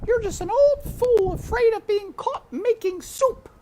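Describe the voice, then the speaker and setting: A woman's voice: very high voice, lively intonation, unhurried, warm tone, pitched above the performer's own voice. A woman voicing a nervous, jolly old female cook from a storybook, folksy and full of exclamations. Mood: flustered, self-scolding. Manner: exclamatory, folksy, cackling ho-hees. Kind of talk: storybook character voice.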